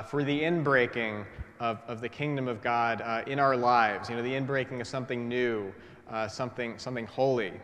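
Congested priest preaching in a reverberant church. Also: male voice, medium pitch, fairly bright tone. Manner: conversational, many fillers and restarts. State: congested from a head cold. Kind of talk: sermon. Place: large church, in the pulpit. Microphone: gooseneck pulpit mic.

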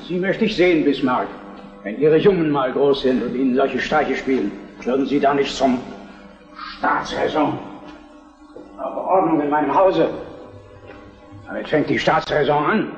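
A man's voice: mid-range voice, moderate pace, long pauses, lo-fi sound, faint music behind, close to an everyday voice. An actor playing an irascible, stern old Prussian king and father, commanding and blustering in a German historical radio drama. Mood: angry, commanding. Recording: radio play in echoing hall.

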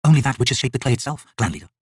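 Male reader as male shaman, storybook fantasy, thoughtful, grave, husky, expressive pitch. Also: rapid-fire, dry recording, clean studio sound, recorded from a distance. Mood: solemn, reflective.

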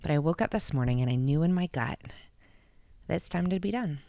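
A woman's voice: deep voice, animated, fairly quick, vocal fry, warm tone, dry recording. A woman in her thirties talking earnestly and encouragingly to camera from her bedroom, holding a handheld mic close. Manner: earnest, heartfelt, encouraging, with frequent restarts and laughs. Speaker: vlog host. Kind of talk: casual motivational talk to camera. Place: bedroom at home, indoors. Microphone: handheld microphone held close.

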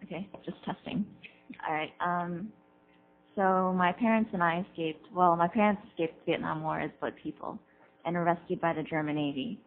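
A young woman testifying at a hearing, hesitant and filling pauses with ums. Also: fairly low pitch. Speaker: student witness. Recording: tinny lo-fi recording with faint hum.

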